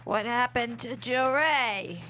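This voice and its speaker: A woman's voice: fairly high pitch, slow, bright, forceful tone, a little hiss or hum. A woman playing a brash, jaded female insect in a comedy table read, blunt and sarcastic. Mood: brash, wry.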